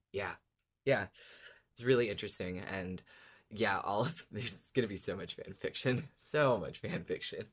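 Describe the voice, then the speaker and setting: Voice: low-pitched, a bit bright. A podcast co-host chatting informally in a casual fan discussion, agreeable and thinking aloud. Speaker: podcast co-host discussing a horror audio drama.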